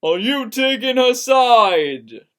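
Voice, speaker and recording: Woman acting a loud, jealous, furious girlfriend. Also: fairly high pitch, expressive, takes it slowly, voice pushed up. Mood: angry, accusing. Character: jealous, hurt, angry teenage girlfriend. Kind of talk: dramatic fan fiction reading.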